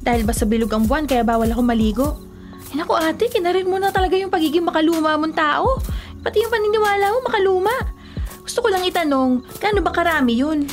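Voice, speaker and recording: An actress playing an exasperated, teasing younger sister in a Filipino radio drama, scoffing at old superstitions in a sassy, conversational way. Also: female voice, extremely high pitch, expressive, quick pace, soft background music.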